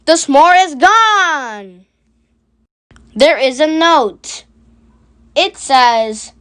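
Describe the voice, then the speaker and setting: Very slow, high-pitched children's storybook reader. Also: a bit bright. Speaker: children's book reader and channel host. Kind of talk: read-aloud storybook narration.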